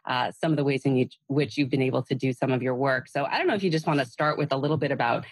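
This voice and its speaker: A woman hosting a podcast interview from a home in Massachusetts over a video call, speaking gently and meanderingly with soft fillers. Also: a bass voice, fast talker, slightly rough, no echo. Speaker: podcast host.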